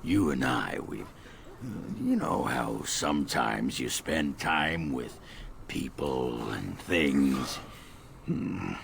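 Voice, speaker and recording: A man playing a gruff, lewd, boozy middle-aged dwarf warrior in a fantasy video game, with a deep, gravelly voice and a blunt, rambling delivery. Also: lively intonation, extremely slow, a bit bright, slight background noise, exaggerated voice. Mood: awkward, hesitant.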